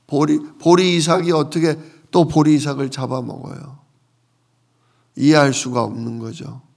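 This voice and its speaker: Male pastor, conversational sermon, creaky gravelly voice, somewhat high-pitched, explanatory question-and-answer delivery. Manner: explanatory, asks the congregation rhetorical questions and answers them. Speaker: pastor preaching.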